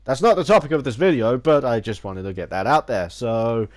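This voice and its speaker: Gaming YouTuber with a head cold, a little nasal, stumbling and restarting sentences, mid-pitched. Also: male voice, brisk. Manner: rambling, many restarts and stumbles; theatrical opening greeting. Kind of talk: casual video essay.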